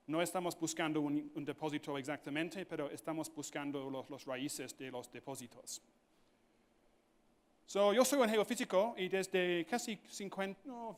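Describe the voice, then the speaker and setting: A man who speaks English natively gives a conference lecture in halting Spanish, slipping in English fillers like "so". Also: a little high. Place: conference hall.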